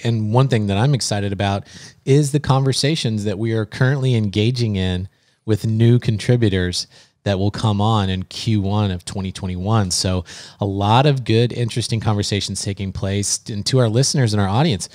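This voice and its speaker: A man's voice: fairly low pitch, normal speed, a little mellow, no room sound, studio quality. A man co-hosting a podcast in a casual, conversational style. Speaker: podcast co-host.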